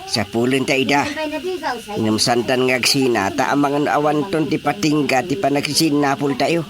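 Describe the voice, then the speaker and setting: A woman's voice: very deep voice, fairly even intonation, moderate pace, a crowd in the background. A woman playing a puzzled, inquisitive parent in a Filipino radio comedy, questioning and exclaiming.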